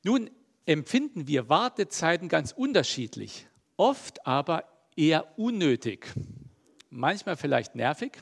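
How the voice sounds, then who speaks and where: A middle-aged male preacher with a gravelly, fry-edged voice that sits a little high. He talks in a loose, chatty way with frequent fillers, more like a conversation than a formal sermon. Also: measured pace. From East Germany. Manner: relaxed, chatty, many fillers.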